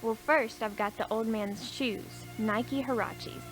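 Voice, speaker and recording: A woman's voice: fairly high pitch, steady tone, slow pace, a bit bright, faint music behind, rough recording. A woman playing a brazen, crafty old woman peddling scavenged goods in a radio play, saucy. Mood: eager, sly. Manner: saucy, hawking her wares.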